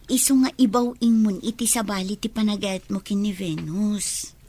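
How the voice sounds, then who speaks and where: A woman's voice: medium pitch, fairly even intonation, moderate pace, warm tone, natural voice. A woman acting a supportive young single friend in a Filipino radio drama, sympathetic and conversational, with a Filipino accent. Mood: sympathetic, consoling.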